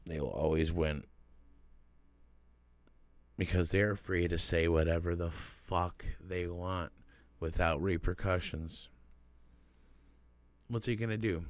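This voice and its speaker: A man's voice: low-pitched, steady tone, slow pace, pauses often, no room sound. A man hosting a music reaction video, talking casually and profanely with lots of fillers. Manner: profane, laid-back, frequent fillers. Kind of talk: casual album reaction commentary.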